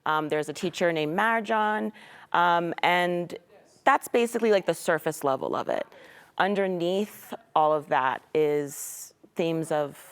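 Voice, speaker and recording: A woman's voice: lowish voice, measured pace, smooth. A young woman actor being interviewed on a studio couch, talking thoughtfully with frequent "um" and "like". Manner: thoughtful, uses fillers like um and like. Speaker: actor guest. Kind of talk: casual interview chat.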